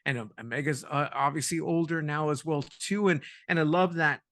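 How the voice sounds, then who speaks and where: A man from the San Francisco Bay Area, a guest on a review podcast, easygoing and quick to laugh. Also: a little high, a bit bright. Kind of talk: casual review chat.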